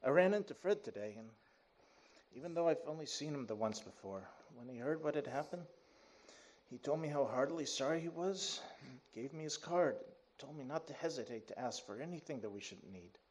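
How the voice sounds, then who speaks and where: A man's voice: medium pitch, animated, brisk, lightly acted. An actor playing a humble, kindly father in grief, earnest and tender, in a radio play. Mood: tender sorrow. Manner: earnest, gently emotional. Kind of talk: 1930s-style radio play acting.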